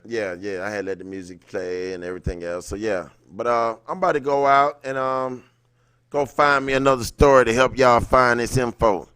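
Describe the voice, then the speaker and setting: A man's voice: medium pitch, fairly even intonation, normal speed, fairly bright tone, clean tone. A man hosting a livestream in an informal, slangy way, moving between reading aloud and casual chat with viewers. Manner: informal, slangy, greets viewers by name mid-thought. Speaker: livestream host.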